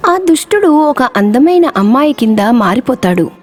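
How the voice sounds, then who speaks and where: Brief: female narrator for kids' moral tales, high-pitched and very animated. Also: moderate pace, faint music behind. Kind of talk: scripted children's story narration.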